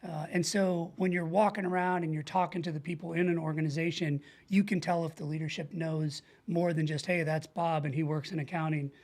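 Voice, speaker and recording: Higher-voiced middle-aged American man, earnest and informal. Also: steady tone, fairly quick, hardly any pauses, a little mellow. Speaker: podcast guest, leadership coach. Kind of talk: casual conversational interview.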